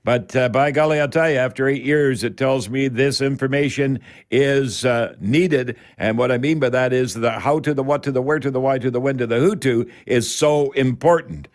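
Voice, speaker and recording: A man's voice: mid-range voice, moderate pace, few pauses. An older Canadian man hosting a home improvement call-in radio show in a folksy, rambling, emphatic way, speaking straight to his listeners.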